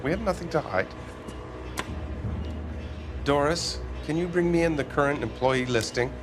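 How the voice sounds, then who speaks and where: An actor playing a pompous, evasive middle-aged factory owner who blusters and dodges questions, with music behind him. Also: male voice, a little high, moderate pace, natural voice. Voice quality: blustering, defensive. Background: music score.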